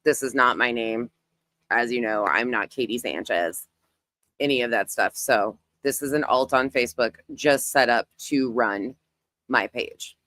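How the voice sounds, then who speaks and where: A woman's voice: rumbling low, a little slow, fairly bright tone, no echo. A woman hosting a casual live online commentary, emphatic and repetitive in phrasing, talking through what she does as she browses. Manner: narrates own actions while browsing. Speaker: YouTube creator, host.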